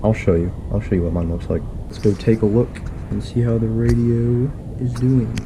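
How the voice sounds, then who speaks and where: A man casually walking through a do-it-yourself wiring job, relaxed and rambling with plenty of fillers. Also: on the low side, normal speed, background noise. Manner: laid-back, rambling, filler-heavy explaining. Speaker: DIY video host. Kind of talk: casual how-to walkthrough. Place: inside a pickup truck and around it, at night.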